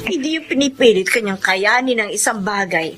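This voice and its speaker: An actress with a Filipino accent playing a frank, sensible middle-aged friend who admonishes in a radio drama. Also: female voice, medium pitch, animated, moderate pace, a bit bright, soft background music, lightly acted. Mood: admonishing.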